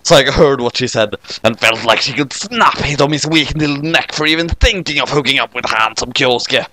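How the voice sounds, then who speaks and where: A man's voice: medium pitch, fairly quick, sharp tone. A man reading scripted comic fan fiction as narrator.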